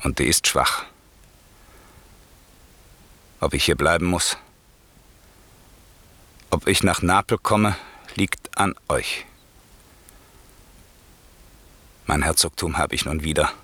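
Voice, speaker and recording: An actor playing an aging sorcerer duke in a classical radio drama, solemn, measured and declamatory. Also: male voice, sharp tone, husky, exaggerated voice. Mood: weary, pleading. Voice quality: deep. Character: Prospero, aging male sorcerer and wronged duke, commanding, weary.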